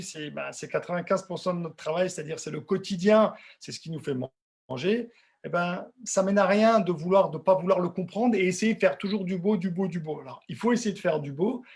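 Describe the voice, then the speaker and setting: A middle-aged man with a husky voice giving an informal technical lecture, conversational and repeating words for emphasis. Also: sits high, darkish tone. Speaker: dental technician giving a webinar.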